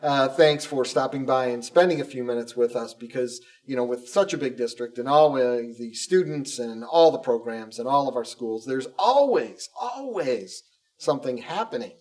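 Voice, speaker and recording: A man's voice: mid-range voice, animated, moderate pace, a little mellow, recorded from a distance. A man casually hosting an interview show as a school communications director.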